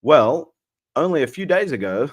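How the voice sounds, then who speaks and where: An Australian man in his thirties, hoarse from a cold, hosting a chatty livestream with lots of fillers. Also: on the high side, big pitch swings, moderate pace. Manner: friendly, chatty, many fillers. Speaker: host. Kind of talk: casual livestream chat.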